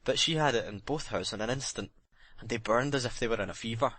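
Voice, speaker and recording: Man acting a husky, bitter, broken sailor. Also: mid-range voice, quick pace, fairly bright tone, dry recording, lightly acted. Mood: brooding. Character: bitter, broken sailor confessing, jealous and remorseful. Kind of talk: dramatic audiobook reading.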